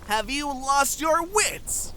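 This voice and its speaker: A man's voice: high-pitched, very animated, unhurried, pushed and bright, a little hiss or hum, full character voice. A man playing an arrogant, cruel young noble squire in a fantasy fan dub, sneering and declamatory. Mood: outraged. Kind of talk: theatrical archaic fantasy dialogue in a fan dub.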